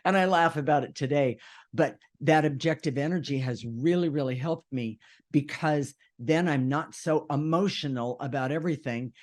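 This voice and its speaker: A man with a deep voice talking casually and earnestly, with emphasis, as co-host of an unscripted discussion show. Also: a little mellow.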